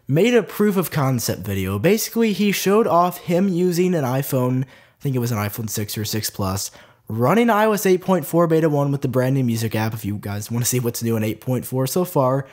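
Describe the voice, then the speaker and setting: A young man with a stuffy nose from a cold, hosting a casual tech news vlog in a chatty way with plenty of fillers. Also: medium pitch. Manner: chatty, lively, lots of "like" fillers. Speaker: tech video host.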